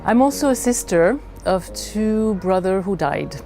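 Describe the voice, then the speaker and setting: A middle-aged woman from Belgium telling her personal story in a reflective way, haltingly searching for her words. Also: mid-range voice, animated, warm tone, soft background music. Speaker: leadership coach.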